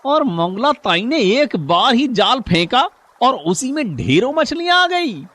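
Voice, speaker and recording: Man playing a sly, greedy fisherman, very high voice, slow deliberate delivery, faint hiss. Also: big pitch swings, fairly bright tone, heavily acted. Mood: astonished. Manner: taunting, then panicky cries for help. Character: greedy, mocking male village fisherman. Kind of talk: cartoon story voice acting.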